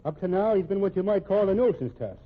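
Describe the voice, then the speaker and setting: High-pitched, blunt Irish cop. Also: male voice, lively intonation, quick pace, husky. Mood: assured, matter-of-fact. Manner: folksy, ungrammatical, blunt talk. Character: gruff, talkative, confident Irish police officer. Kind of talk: old-time radio drama acting. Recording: muffled, narrow-band old radio recording.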